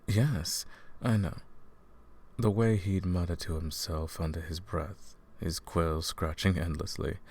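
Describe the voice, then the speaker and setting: A scheming royal advisor played by a man in an intimate fantasy audio drama. His voice is very low, with a gravelly creak in it. He addresses the listener with courtly, theatrical politeness, smooth-tongued and condescending beneath the show of sympathy. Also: darkish tone, full character voice. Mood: feigned sympathy.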